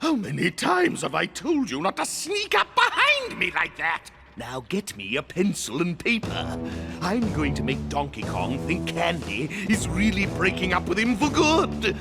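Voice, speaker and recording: A theatrical crocodile monarch, villainous and full of himself. The pitch leaps extravagantly between a low purr and shrill heights, and the delivery is drawn-out and grandiloquent. Cartoon score plays clearly behind the voice. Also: male voice, huge pitch swings, full character voice. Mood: exasperated. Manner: pompous, grandiloquent, exasperated with underlings. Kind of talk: exaggerated cartoon villain acting. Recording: cartoon soundtrack with music behind.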